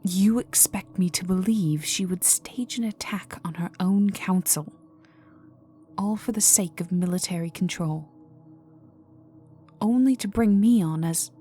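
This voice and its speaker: A woman acts out an icy vampire noblewoman bent on revenge. Her voice sits fairly low and moves very slowly, imperious and threatening, often slipping into a whisper. It is a dark, intimate ASMR roleplay with music underneath. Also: natural voice. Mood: probing, icy. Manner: slow, menacing, imperious, formal, often dropping to a whisper. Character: cold vengeful aristocratic female vampire, young heiress in mourning.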